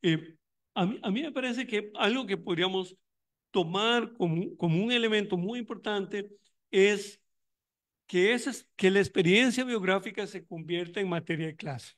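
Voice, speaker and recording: A high-voiced man speaking at an academic conference. He speaks reflectively, restarts phrases often and searches for words aloud as he goes. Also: normal speed, fairly bright tone. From Costa Rica. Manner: thoughtful, many restarts and fillers, reflective. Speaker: panelist on migration in education; university educator running tutoring programs.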